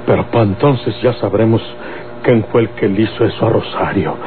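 A man's voice: fairly low pitch, normal speed, noisy background, lightly acted. A man playing a proud, vengeful Mexican outlaw in a radionovela, with a rustic, emphatic, threatening delivery. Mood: vengeful, stern. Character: proud, vengeful male outlaw, menacing family avenger. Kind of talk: Mexican golden-age radionovela acting. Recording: tinny old radio, music underneath.

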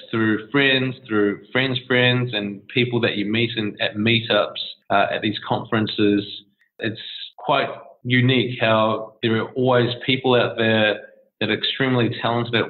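A man, a startup co-founder giving long, easygoing interview answers with frequent restarts. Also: lowish voice. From New Zealand. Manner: relaxed and discursive, with many restarts and fillers. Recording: tinny phone-like line.

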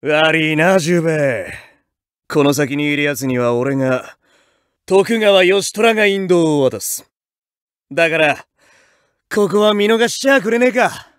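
An actor playing a cocky, swaggering young male samurai brawler, with rough street-tough talk in fighting game voice clips. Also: on the high side, lively intonation, slow pace, long pauses, no room sound, studio quality. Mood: low, earnest. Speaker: game character voice. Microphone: dry studio mic.

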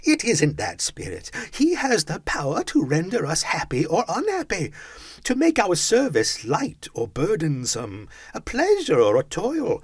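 Casting: a man voicing a stubborn old miser, defensive, husky and lively in pitch. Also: unhurried, no echo, studio quality, exaggerated voice. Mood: heated. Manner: voice rising high and loud when worked up.

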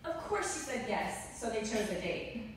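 Young woman, bridesmaid speech, high animated voice, distant echoey recording, noisy room. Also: normal speed. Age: young adult. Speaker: bridesmaid giving a wedding speech. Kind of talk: scripted rhyming storybook speech. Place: large wood-walled indoor reception venue. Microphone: mic on a stand, picked up by far camera.

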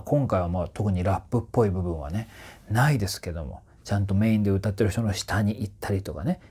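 Husky, animated man explaining casually. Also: fairly low pitch. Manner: animated, often sings short phrases to demonstrate. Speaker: voice trainer reviewing singers. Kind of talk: casual explanatory commentary to camera. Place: small indoor room with curtains.

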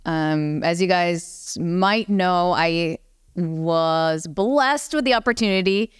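Female panellist, lowish smooth voice, informal chatty delivery, dry close recording. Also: slow pace, no room sound. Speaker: podcast panellist. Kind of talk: casual panel discussion.

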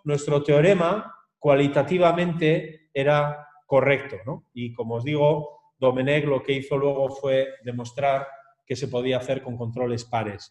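A man with a slightly higher voice gives a relaxed academic talk over a video call, keeps checking in with his listeners and sounds set in an echoey room. His comes through as he explains. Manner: lively, conversational, with frequent tag questions. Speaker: lecturer. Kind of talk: academic webinar lecture.

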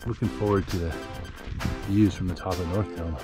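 A man's voice: deep voice, fairly even intonation, moderate pace. Wry young man narrating a hike. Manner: dry, wry understatement. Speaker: vlogger hiker. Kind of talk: casual outdoor vlog narration. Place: outdoors on a granite mountaintop. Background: background music.

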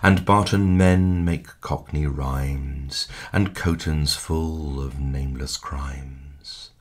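A man giving a dramatic poetry recitation in a measured, expressive way, with hushed line endings. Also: a bass voice. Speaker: poetry reader. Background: music.

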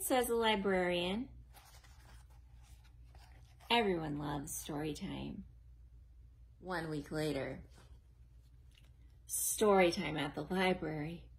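A woman in her forties reading a picture book aloud to young children in a slow, exaggerated, sing-song way, recorded on a close webcam at home. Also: fairly low pitch. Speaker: children's librarian. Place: living room at home, then a library.

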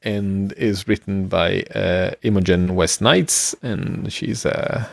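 Middle-aged male podcast co-host, gravelly and fairly deep, rambling conversational explainer. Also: steady tone, slow pace, no room sound, studio quality. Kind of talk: casual explanatory chat. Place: small room at home, on a video call. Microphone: close microphone, headphones.

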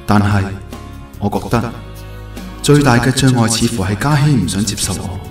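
A man's voice: fairly low pitch, moderate pace, lightly acted. A man acting a devoted, earnest and unsure young man in love in a radio drama, with a Hong Kong Cantonese accent. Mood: wistful. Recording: roomy echo, music bed.

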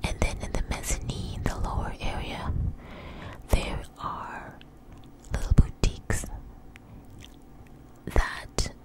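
A woman telling a personal story in a close, breathy ASMR whisper, with halting, deliberate phrasing. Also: low-quality recording. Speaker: ASMR channel host. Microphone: close microphone.

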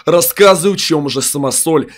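A man's voice: on the high side, normal speed, soft background music. A young man making a gaming video at home on a headset, hyped and full of amazed exclamations, sounding a little sick. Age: young adult. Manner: hyped, exclaims in amazement, pushes likes and promo codes. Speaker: mobile game youtuber. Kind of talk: excited gaming video commentary. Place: small room at home, indoors.

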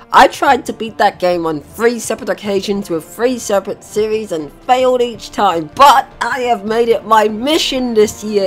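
A young adult gaming host giving casual let's play commentary in an excitable, sweary, rambling manner. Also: medium pitch.

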